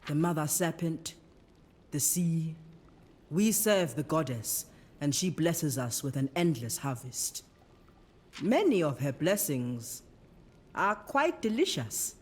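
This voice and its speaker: An actress playing a calm, devout warrior priestess of a sea goddess in a video game, speaking in a measured, solemn way. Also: female voice, sits low, breathy edge, exaggerated voice. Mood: calm, friendly. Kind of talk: dramatic video game acting. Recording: music under the voice.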